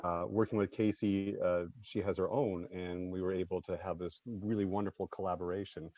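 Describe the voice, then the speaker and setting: A man's voice: low voice, little pitch movement, brisk, mellow, no echo. A man who writes and directs, giving casual, reflective interview answers on slightly dull-sounding audio. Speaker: playwright and director.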